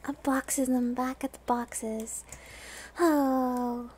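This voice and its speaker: A woman's voice: sits high, steady tone, extremely slow, darkish tone, husky. A woman streamer giving casual, playful and self-deprecating commentary while she plays a video game.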